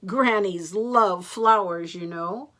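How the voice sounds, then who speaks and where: A woman's voice: medium pitch, takes it slowly, a bit bright. Woman voicing a sly, drawling big bad wolf. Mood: sly, charming. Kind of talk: storybook character voice.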